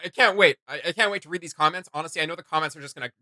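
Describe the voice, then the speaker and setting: A man gives casual reaction commentary as a co-host. His voice sits a little high and is strikingly bright, with a dry sound. He rambles informally and with enthusiasm. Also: racing, no echo.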